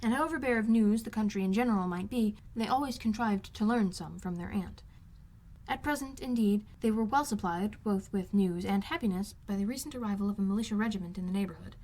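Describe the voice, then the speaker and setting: A woman reads a classic novel as an audiobook. Her voice sits at a medium pitch, in a scripted literary narration style. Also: brisk, darkish tone. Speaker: amateur audiobook reader.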